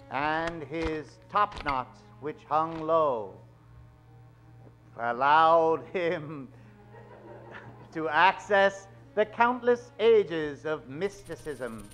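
Suave male mystery narrator played by a stage actor, 1940s radio drama, slow, dramatic, drawn-out suspense. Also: fairly high pitch, fairly bright tone, pitched below the performer's own voice. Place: theater stage. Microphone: vintage-style stand microphone.

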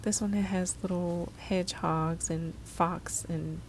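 A woman vlogger giving a casual, unhurried, descriptive narration of what she is showing while shopping. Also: fairly low pitch, steady tone, slight background noise.

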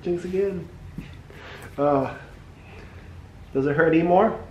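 A man's voice: a little high, takes it slowly, dark tone, dull audio. Young male podiatrist from Hong Kong, easygoing small talk with a patient, echoey treatment room. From Hong Kong, moved to New Zealand young. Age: young adult. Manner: easygoing, uses "like" often. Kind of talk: casual chat with a patient while working. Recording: roomy echo, clear background noise.

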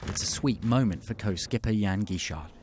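A man giving scripted, measured documentary narration as a studio voice-over. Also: lowish voice, lively intonation, noisy background. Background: music.

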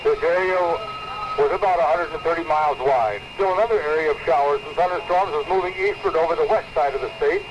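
A man's voice: fairly high pitch, fast talker, pauses often. A man reading a scripted weather summary as a radio announcer, formal and matter-of-fact. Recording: muffled, noisy 1970s weather radio recording.

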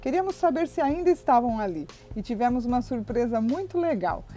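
A woman's voice: on the high side, big pitch swings, brisk, mellow, faint music behind. A Brazilian woman with a Brazilian Portuguese accent narrating a travel vlog in a cheerful, easy manner. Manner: cheerful, laughs often while filming.

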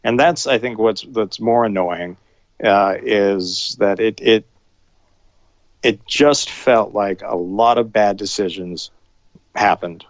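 A man co-hosts a casual film review podcast and talks in halting bursts, often restarting his sentences. The recording is dry and close, with a somewhat dull, lo-fi quality. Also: lowish voice, dry recording. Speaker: podcast co-host.

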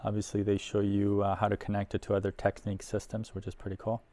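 Man, middle-aged, fairly deep pitch, casual hands-on commentary, unhurried, thinking aloud. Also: completely flat delivery, dark tone. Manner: unhurried, thinking aloud while handling items. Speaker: host of an audio gear unboxing video. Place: indoor workshop at a workbench.

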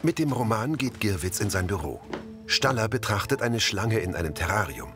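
A man's voice: lowish voice, very animated, normal speed, over music. A man reading scripted audio description narration for a television show.

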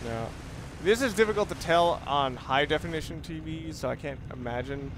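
Higher-pitched man rambling over noisy game audio. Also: lively intonation. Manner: rambling, halting explanations. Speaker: let's play gamer. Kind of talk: casual, off-the-cuff game commentary. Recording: noisy, game sounds behind the voice. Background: video game sounds of boat and water.

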